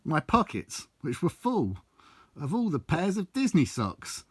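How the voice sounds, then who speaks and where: A man's voice: fairly high pitch, wildly swooping pitch, unhurried, breathy edge, no room sound. A man reciting his own rhyming life story in a theatrical, blunt, sweary storytelling manner. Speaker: author reading own book. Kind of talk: recited rhyming autobiographical verse.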